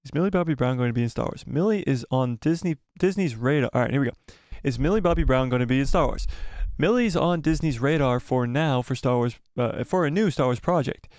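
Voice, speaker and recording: A man hosting a live stream, chatting casually and reading out viewer comments. His voice is going hoarse and he rambles and repeats himself as he thinks aloud. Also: medium pitch, expressive, fairly quick, hardly any pauses, darkish tone, no room sound, studio quality. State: losing his voice, coughing. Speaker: livestream host.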